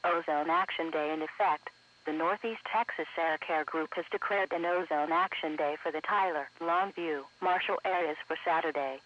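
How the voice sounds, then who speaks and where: A man's voice: on the high side, fairly even intonation, normal speed. A man reading scripted weather bulletins in a formal, segmented, automated way as a radio announcer. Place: radio broadcast captured for an online stream. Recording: muffled lo-fi weather radio broadcast.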